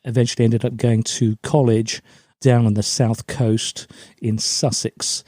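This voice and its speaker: A man's voice: medium pitch, little pitch movement, unhurried, dark tone, no echo, studio quality. A middle-aged man from Germany, raised in a British forces family, giving chatty, anecdotal answers as an interview guest. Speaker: podcast guest, LinkedIn brand coach.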